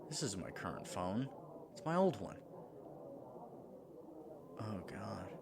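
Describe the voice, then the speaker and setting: A man performing an audio roleplay monologue, earnest and reflective, sometimes dropping to a hushed whisper. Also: low voice, creaky, noisy background.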